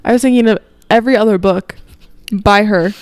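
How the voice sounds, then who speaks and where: A woman's voice: medium pitch, a little fast, clear, resonant tone, no room sound. A young woman co-hosting a podcast in casual conversation, giggly and self-deprecating, speaking into a close handheld microphone. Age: young adult. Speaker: podcast co-host. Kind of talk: casual chat. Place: living room, indoors. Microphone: handheld microphone held close.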